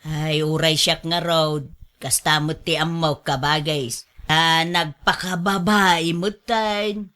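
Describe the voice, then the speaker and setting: A woman playing a fretful, scolding but caring older mother and grandmother in a radio drama, with drawn-out exclamations. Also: low voice, fairly even intonation, a bit bright. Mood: gossiping, regretful.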